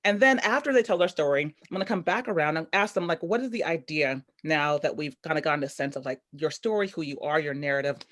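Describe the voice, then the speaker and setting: A woman's voice: low voice, expressive, quick pace, few pauses, a bit bright. A woman hosting a live online storytelling event over a video call, upbeat and chatty with loose, rambling phrasing. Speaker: host.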